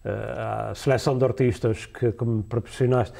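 This is a man in his sixties with a European Portuguese accent and a warm, mellow tone of middling pitch. He talks slowly and haltingly in a studio interview, with many fillers, restarts and easy laughs. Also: steady tone, creaky. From Azores, Portugal. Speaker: musician guest. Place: TV studio set, seated in a leather chair.

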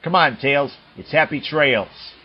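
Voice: medium pitch, slow, highs cut off, a little hiss or hum, natural voice. A performer voicing a cocky, wisecracking male blue hedgehog hero in a fan dub of a cartoon, with music behind.

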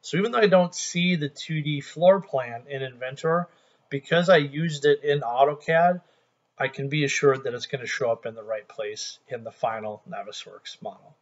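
A middle-aged man walks through a software tutorial from his desk. He talks into a headset mic in a relaxed, conversational tone at an ordinary pitch. The sound is a little dull and lo-fi. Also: moderate pace. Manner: relaxed, conversational explaining with small restarts. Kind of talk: screen-recorded software tutorial.

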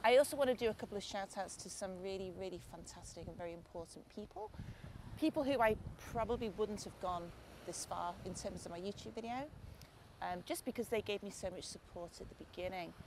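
A woman's voice: mid-range voice, a little fast. Chatty woman with a Lancashire lilt. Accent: Lancashire English, softened. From Lancashire, England. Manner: chatty, warm thanks, calls viewers guys and mate. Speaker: art channel host. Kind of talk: casual talk to camera. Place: outdoors on a beach at sunset. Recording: noisy outdoor camera audio.

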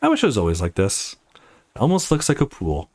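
A man's voice: fairly low pitch, wildly swooping pitch, brisk, a little mellow, pitched below the performer's own voice, heavily acted. A man voicing a wistful, reflective young visual novel character who loves nature trivia.

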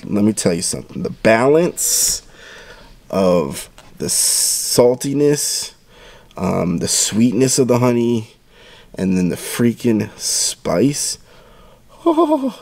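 Chatty low-voiced man, dry sound. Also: darkish tone, no room sound. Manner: chatty, enthusiastic, lots of ums and restarts. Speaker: home cooking vlogger. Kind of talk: casual cooking instructions and tasting. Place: parked car and home dining room.

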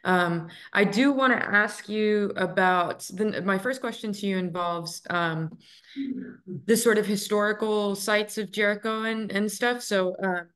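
A woman's voice: medium pitch, measured pace, a bit bright. A young woman in her twenties hosting a webinar on a video call, friendly, with many ums. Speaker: webinar host. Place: room indoors. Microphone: laptop webcam on a video call.

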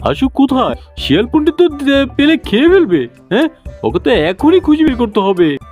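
Worried cartoon duck parent played by a woman, urgent, pitch jumping about, music behind. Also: very animated, normal speed, a little mellow. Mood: alarmed. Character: protective parent duck, anxious about the young one. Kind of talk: children's cartoon voice acting.

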